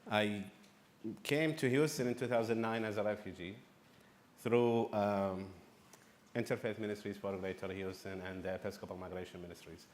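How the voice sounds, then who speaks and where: A man from Iraq giving a panel presentation on refugee services, speaking haltingly with frequent restarts and fillers. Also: lowish voice, steady tone, slight background noise.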